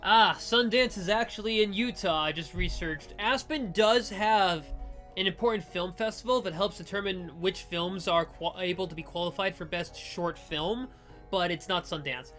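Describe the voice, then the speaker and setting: A woman's voice: on the low side, moderate pace, fairly bright tone, faint music behind. A woman hosting a let's play, giving casual, rambling, jokey commentary on a visual novel. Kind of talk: casual game commentary with character reading.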